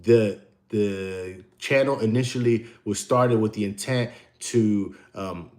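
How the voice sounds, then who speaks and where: A man's voice: on the low side, darkish tone. An American man hosting a casual livestream, telling long, digressive stories and answering the chat. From United States. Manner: rambling, digressive storyteller. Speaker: livestream host; tattoo artist.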